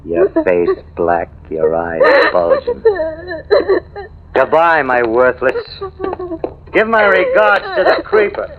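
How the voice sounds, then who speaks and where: A man's voice: medium pitch, huge pitch swings, slow pace, pauses often, slight background noise, full character voice. An actor playing a menacing, unhinged tough-guy lover, brooding and prone to outbursts, in old-time radio noir style. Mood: coldly menacing. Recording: tinny vintage radio recording with music.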